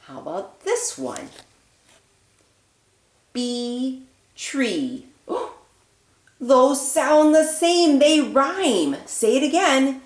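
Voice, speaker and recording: Slow, sing-song teacher woman. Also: sits high, big pitch swings, echoey room. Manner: sing-song praise to young children, slow exaggerated words. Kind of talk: early-childhood rhyming lesson.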